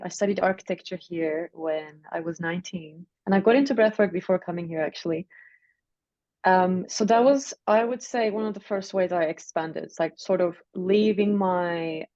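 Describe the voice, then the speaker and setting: Guest coach, Turkish accent, low mellow voice, dry dull video-call sound. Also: female voice, moderate pace, dry recording. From Turkey. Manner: reflective, searching phrasing with fillers. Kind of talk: relaxed interview.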